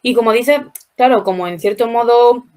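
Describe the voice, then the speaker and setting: Spanish woman interviewer, slightly high voice. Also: normal speed, a little mellow. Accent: Spain. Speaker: interviewer, host. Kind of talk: conversational interview.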